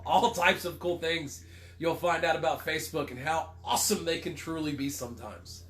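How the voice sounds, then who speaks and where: A man's voice: a little high, normal speed, a bit bright. Man with a cold hosting a livestream. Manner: rambling, swears casually, self-deprecating jokes. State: congested with a cold. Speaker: livestream co-host. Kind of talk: casual livestream chat.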